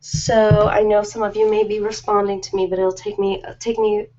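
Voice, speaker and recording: A middle-aged woman hosting a casual live chat with her viewers, informal and rambling with hesitant pauses. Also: medium pitch, monotone, dark tone, dull audio. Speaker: ASMR host.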